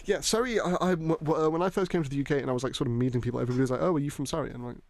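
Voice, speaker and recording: A man's voice: fairly high pitch, a little mellow. A young man with a British accent, hosting a casual podcast chat, rambling and swearing loosely. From Hong Kong. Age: young adult. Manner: rambling, swearing, quick laughs. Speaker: podcast host.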